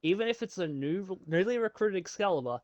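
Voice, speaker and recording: A man in casual joking commentary, halting and emphatically repeating words, heard through a dull lo-fi microphone. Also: high-pitched, animated, darkish tone, no echo.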